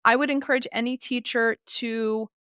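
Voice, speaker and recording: A woman's voice: on the high side, fairly even intonation, moderate pace, a bit bright, smooth, dry recording, studio quality. A woman giving articulate, earnest explanations as an expert guest in an interview. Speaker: literacy expert and author, podcast guest.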